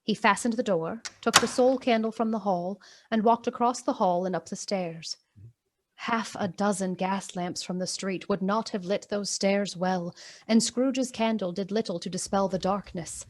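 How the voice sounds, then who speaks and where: A woman narrating a radio play as a storyteller, deliberate, suspenseful and emphatic. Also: medium pitch, slight background noise, natural voice. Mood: measured, wry. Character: storyteller of a gloomy Victorian ghost tale. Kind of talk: scripted dramatic storybook narration.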